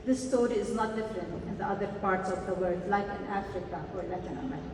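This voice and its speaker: A woman from Lebanon giving a prepared speech at a conference, earnest and measured, slowing down to stress key words. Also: mid-range voice. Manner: earnest, measured, slows for emphasis. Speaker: party delegate giving a congress speech. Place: large conference hall. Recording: echoey hall, distant mic, faint noise.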